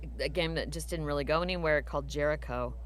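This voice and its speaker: A woman's voice: sits low, quick pace, slight background noise. A woman voice actress answering fan questions in a casual live Q&A, chatty and full of fillers. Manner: chatty, warm storytelling with fillers. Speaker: voice actress guest.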